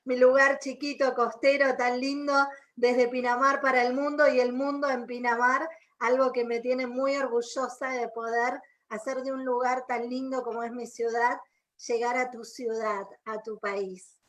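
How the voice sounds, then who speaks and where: A woman's voice: a little high, flat delivery, moderate pace, a bit bright. A woman from Argentina with an Argentine accent co-hosting a radio magazine, speaking in an enthusiastic, flowing way. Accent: Argentine Spanish. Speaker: radio co-host.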